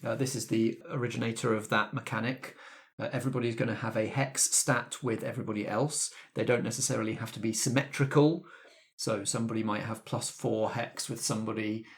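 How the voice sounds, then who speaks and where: A man's voice: mid-range voice, steady tone, fairly quick. Male host and game master, mid-range voice, explanatory podcast delivery. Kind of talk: actual-play podcast hosting, explaining game rules.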